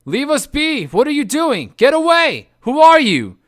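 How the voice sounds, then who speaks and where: A man's voice: very high voice, huge pitch swings, unhurried, a bit bright, no room sound, studio quality, pitched above the performer's own voice, exaggerated voice. A man voicing frantic men who shriek and plead not to be taken away.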